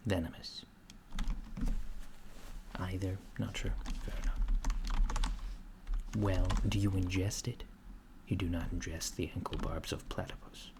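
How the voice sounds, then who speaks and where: A man doing a soft-spoken ASMR roleplay as a curious, puzzled alien interviewer, speaking in a deliberate, halting, deadpan way. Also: low voice. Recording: clear background noise, keyboard typing. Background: computer keyboard typing.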